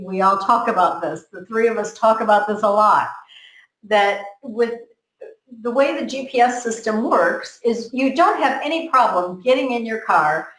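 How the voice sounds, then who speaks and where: A woman's voice: medium pitch, reverberant, low-quality recording. A woman from the United States, talking earnestly as a podcast guest and repeating words as she builds each point. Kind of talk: motivational conversation.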